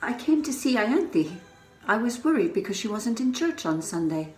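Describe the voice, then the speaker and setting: A woman's voice: fairly high pitch, sing-song, normal speed, darkish tone. A woman playing an anxious, concerned caller in a dramatized novel reading. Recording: echoey, music behind the voice.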